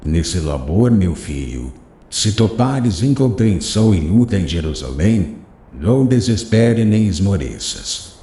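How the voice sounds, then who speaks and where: A man's voice: sits low, mellow, roomy sound, heavily acted. A man voicing an old, wise rabbi who counsels his former pupil in a solemn, paternal way. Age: older. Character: old wise male rabbi, gentle teacher. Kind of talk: audiobook character reading.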